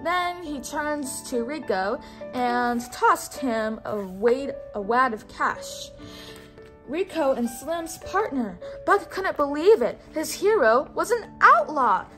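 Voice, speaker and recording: A woman's voice: sits high, expressive, slow pace, a bit bright, soft background music. A woman hosting a children's read-along, reading a storybook aloud in a teacherly way and inviting listeners to repeat words.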